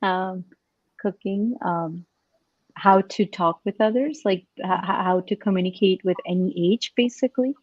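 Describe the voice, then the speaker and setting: A woman's voice: medium pitch, moderate pace, dry recording, highs cut off. A woman guest on a podcast chatting casually and reflectively, in a tentative way peppered with "like". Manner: tentative, frequent "like". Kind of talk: casual, reflective panel chat.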